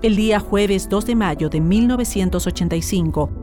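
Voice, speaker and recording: A woman's voice: mid-range voice, normal speed, dark tone. A woman with a Chilean accent reading scripted documentary narration as a narrator. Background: music.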